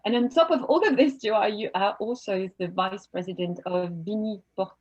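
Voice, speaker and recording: A woman hosts an online panel from her home office, chatting warmly and often restarting her sentences. Her pitch sits in the middle range. The video-call audio sounds slightly dull and lo-fi. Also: normal speed. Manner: friendly, chatty, frequent fillers and restarts. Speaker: webinar host and moderator.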